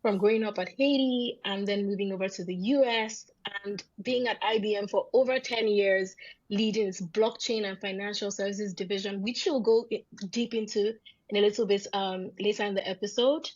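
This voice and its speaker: Podcast host, woman, husky, upbeat, slightly dull lo-fi sound. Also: mid-range voice, fairly even intonation, moderate pace, a little mellow. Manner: enthusiastic, effusive introductions. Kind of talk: podcast interview hosting.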